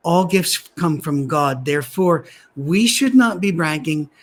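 A man with a soft, gentle voice teaching from the Bible. His pitch sits a little high for a man, and his delivery is conversational, slipping into dry, self-deprecating humor. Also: expressive, a little slow. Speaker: preacher. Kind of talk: sermon-style Bible teaching.

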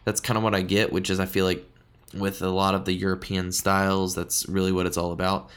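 A man's voice: deep voice, flat delivery, brisk, fairly bright tone, smooth. A man co-hosting a beer podcast, talking in a chatty, informal, enthusiastic way. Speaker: podcast co-host. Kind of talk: casual beer-tasting podcast chat.

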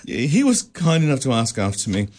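An actor playing a gentle poor clerk and grieving father in a radio drama, soft and halting. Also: mid-range voice, wildly swooping pitch.

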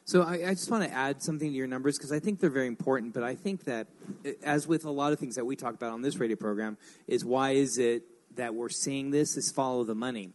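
A man's voice: medium pitch, fast talker. A man making emphatic, argumentative points step by step in an opinionated radio discussion. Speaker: radio program regular.

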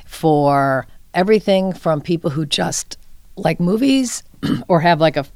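A woman's voice: fairly low pitch, animated, a little slow, darkish tone, dry recording. An older woman speaking as an interview guest, informal and earnest, thinking aloud in short conversational phrases. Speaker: film center executive director, interview guest.

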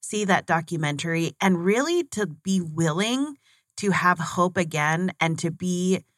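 Woman interviewer, low register, earnest and encouraging, dry close sound. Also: animated, measured pace, no echo. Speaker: host. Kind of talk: interview conversation.

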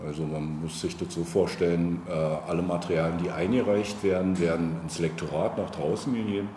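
Man in his forties, very low dark voice, hesitant explanatory interview answers. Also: steady tone, a little fast. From Brandenburg, East Germany. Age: 40s. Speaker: interview guest, local politician. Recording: roomy echo, faint background noise.

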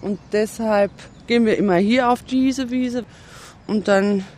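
An older woman who owns a dog, calmly explaining herself outdoors in a park. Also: a little high, unhurried. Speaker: dog owner.

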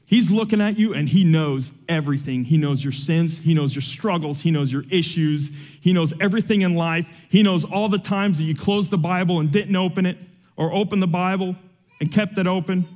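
Young guy preaching, emotional and chatty, kinda high voice, says man a lot. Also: normal speed, darkish tone, big-room sound. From Cuba. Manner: emotional, conversational and earnest, with frequent "man" and "like". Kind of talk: sermon with personal testimony.

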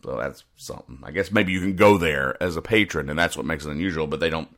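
A man hosting a casual solo podcast, explaining things in a rambling, halting, deadpan way. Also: a bass voice. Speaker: podcast host. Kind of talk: casual solo commentary, explaining comic strips.

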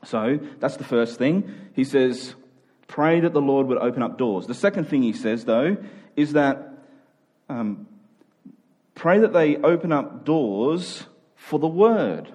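A male preacher with a somewhat husky voice at an average pitch. He delivers his sermon conversationally, in informal, everyday phrasing, and leans hard on key phrases by repeating them. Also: expressive, slow pace, a little mellow.

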